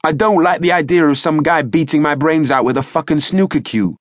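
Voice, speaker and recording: A man voicing a defiant teenage rude boy in police custody, shouting and swearing. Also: a little high, brisk, dry recording, studio quality, voice pushed up, natural voice.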